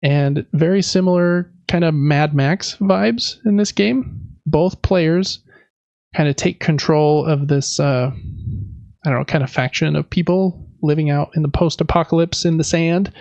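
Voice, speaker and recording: A man's voice: fairly high pitch, a little slow, creaky, darkish tone, no room sound, lo-fi sound. A man co-hosting a casual podcast, describing board games in an informal, hesitant, self-deprecating way. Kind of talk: casual show-and-tell chat about board games.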